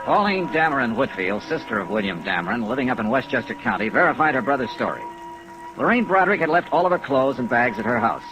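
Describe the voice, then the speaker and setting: A man's voice: mid-range voice, expressive, fast, natural voice, rough recording. An American man playing a wry insurance investigator in a 1950s radio serial, clipped and dry. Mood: businesslike narration. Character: brisk, wry insurance investigator narrating his case. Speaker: lead actor and narrator of a detective radio serial. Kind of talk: 1950s radio detective acting. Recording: vintage radio recording with rain and music.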